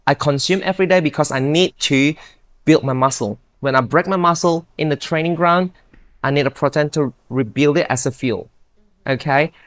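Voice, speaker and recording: A woman's voice: deep voice, normal speed, dry recording. Upbeat Indonesian-accented woman, dry sound. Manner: upbeat, earnest, non-native grammar. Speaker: guest, bodybuilder. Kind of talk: casual interview chat.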